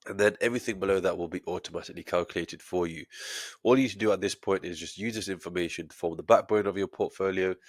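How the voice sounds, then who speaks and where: Low-voiced man with a stuffy nose. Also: dry recording. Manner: steady, methodical explaining with frequent fillers. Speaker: trading educator. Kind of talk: screen-share tutorial.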